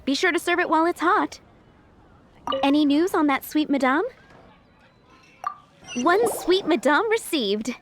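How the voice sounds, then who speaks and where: Young restaurant cook, high voice, animated, earnest, polite, harried, anime game. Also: female voice, unhurried, pauses often, a little hiss or hum. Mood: businesslike, friendly. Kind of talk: anime-style video game voice acting.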